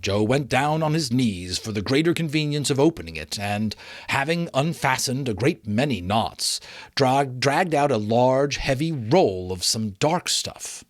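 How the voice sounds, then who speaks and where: A man reading an audiobook as dramatic storybook narration, in a theatrical, expressive manner. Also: mid-range voice, measured pace, dry recording. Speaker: audiobook reader.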